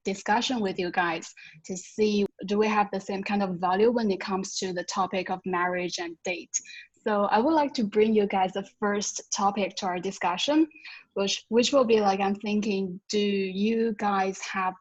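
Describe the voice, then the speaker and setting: A woman's voice: mid-range voice, slightly rough. A woman hosting a casual discussion among friends. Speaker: host. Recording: slightly dull lo-fi sound.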